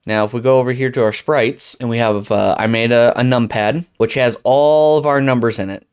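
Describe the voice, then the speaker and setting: A man's voice: medium pitch, a little fast, darkish tone, clear, resonant tone. Man casually talking through a tutorial. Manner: informal, talks himself through each step. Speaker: tutorial host. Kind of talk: casual screen-recorded software tutorial, thinking aloud.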